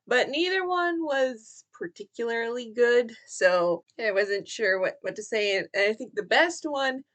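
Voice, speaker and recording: Casting: female book vlogger, higher-pitched voice, informal and chatty review delivery, hesitant phrasing that tends to trail off. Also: a bit bright.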